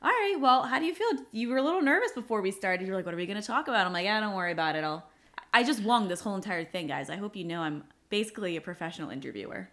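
A woman's voice: medium pitch, lively intonation, rapid-fire, fairly bright tone. A woman in her late twenties hosting a casual podcast chat, talking loosely with lots of "like" fillers. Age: 20s. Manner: chatty, many "like" fillers. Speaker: podcast host.

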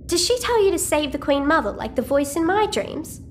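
A quirky teenage hacker girl, speaks in a high voice that swoops with cheeky energy. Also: sing-song, brisk, slight background noise. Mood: chatty. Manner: cheeky, chatty, slangy. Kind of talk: audio-fiction drama dialogue.

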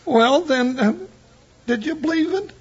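A man playing an excitable, superstitious cowboy sidekick in a radio western, with a high creaky voice, folksy American speech and a blustery stammer, on a dull, noisy old recording. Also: measured pace, heavily acted. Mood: nervous. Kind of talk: 1950s radio western acting.

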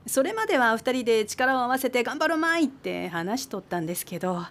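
A woman's voice: on the high side, big pitch swings, brisk, slight background noise. An actress playing a caring, fussing middle-aged guesthouse landlady with a Mikawa dialect from Aichi, in a radio drama. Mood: resigned. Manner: solicitous, gently scolding. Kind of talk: modern radio drama acting.

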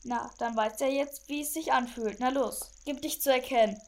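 A woman playing a wary, teasing young detective sidekick in a children's audio drama, with a wry, expressive manner. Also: sits high, normal speed. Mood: challenging.

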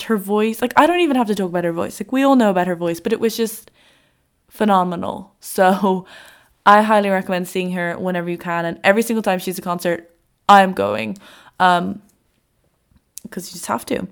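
A woman's voice: medium pitch, clear, resonant tone. A young woman hosting her podcast in a loose, chatty way with lots of fillers, a little congested and nasal from a cold. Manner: chatty, rambling with many fillers. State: congested, a bit sick. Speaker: podcast host. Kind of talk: casual solo podcast chat.

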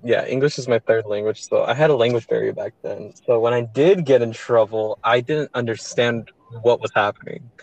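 A man's voice: medium pitch, moderate pace, gravelly, hardly any pauses, dry recording, muffled recording. A teenage boy whose first language is Spanish, co-hosting a casual chat in an easygoing way and asking follow-up questions. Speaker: co-host.

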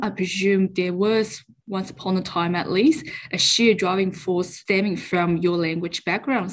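A woman host puts her questions in long, formal sentences, choosing elaborate words. Her voice sits a little lower than most women's. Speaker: interviewer host.

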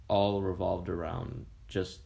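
A man's voice: sits low, level tone, slow, vocal fry, dark tone. A man, a food blogger chatting upbeat and rambling as a podcast guest. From Canada. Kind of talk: casual interview chat.

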